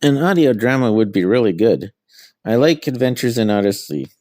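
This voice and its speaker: A man's voice: mid-range voice, sing-song, moderate pace, a little mellow, no echo, studio quality. A middle-aged man being interviewed as an author, giving answers about their own work.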